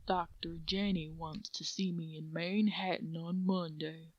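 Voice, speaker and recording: A woman's voice: fairly low pitch, steady tone, unhurried, no echo, dull audio. A woman taking part as a guest reader, voicing lines in an amateur story reading.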